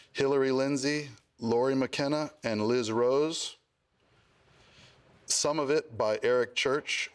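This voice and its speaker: A man reading award categories and their nominees in a measured way. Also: medium pitch, smooth. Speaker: nominations announcer.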